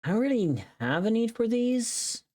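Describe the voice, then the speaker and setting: A streamer of mid-range pitch chatting casually and muttering to themselves while working, often repeating words. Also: sing-song, moderate pace, mellow.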